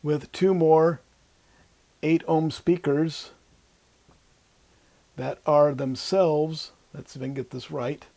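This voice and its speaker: A man's voice: a little high, steady tone, slow, vocal fry, long pauses, warm tone. An older man, in his sixties or beyond, explaining like an instructor at a whiteboard, informally thinking aloud as he goes. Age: 60s or older. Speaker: electronics instructor. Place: small indoor room at a whiteboard. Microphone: lapel mic.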